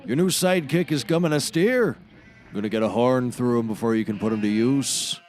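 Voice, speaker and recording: A folksy male auctioneer played by a comic actor works in a medium-pitched voice. His delivery is droll and dry with a showman's wryness, in comedy series style. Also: fairly quick, darkish tone, close to an everyday voice. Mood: dry mockery. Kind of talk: scripted comedy acting.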